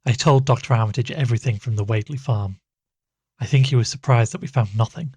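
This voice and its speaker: A man's voice: mid-range voice, fast talker, a little mellow, breathy edge, no echo. A man playing an anxious, earnest amateur investigator in an audio drama, speaking with a hesitant, worried manner. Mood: brooding, worried. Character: uneasy male amateur investigator recording his findings, anxious, earnest. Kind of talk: audio drama dialogue and first-person narration.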